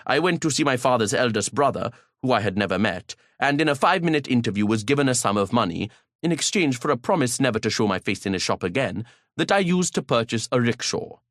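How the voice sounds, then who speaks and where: A man's voice: medium pitch, lively intonation, fast, a bit bright, dry recording, clean studio sound. A man narrating an audiobook in the first person, pompous and grandiloquent, with an occasional stutter.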